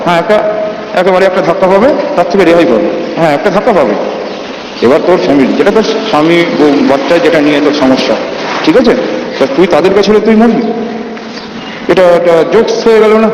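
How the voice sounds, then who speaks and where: Fast man on noisy, distant recording. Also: sits high. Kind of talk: private phone conversation, emotional argument. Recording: distant, noisy, echoey played-back call recording.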